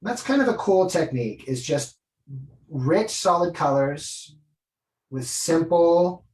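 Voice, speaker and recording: A man's voice: on the high side, lively intonation, slow, darkish tone. A man, a guest illustrator, chatting casually and enthusiastically, thinking aloud as he demonstrates drawing. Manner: enthusiastic, informal, thinking aloud while working. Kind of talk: casual art demonstration and chat. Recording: roomy echo, away from mic.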